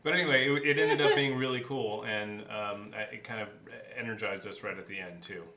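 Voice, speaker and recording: A man's voice: lowish voice, fairly even intonation, brisk, bright, forceful tone. A man in a casual behind-the-scenes chat as a podcast co-host. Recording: roomy echo, clear background noise.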